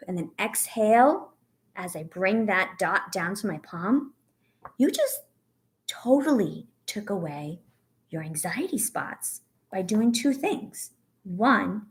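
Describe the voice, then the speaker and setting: Woman teacher for a children's read-aloud; unhurried, animated intonation, speaking straight to young listeners with clear encouragement. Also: mid-range voice. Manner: instructive, encouraging, addressing young children directly. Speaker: teacher reading a picture book aloud. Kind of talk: children's read-aloud with guided breathing exercise.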